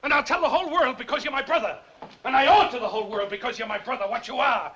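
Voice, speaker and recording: An actor in an old film playing a proud, bitter older brother who shouts when angered. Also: male voice, medium pitch, animated, brisk, slight background noise. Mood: furious. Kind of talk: 1940s film acting. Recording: dull old film soundtrack.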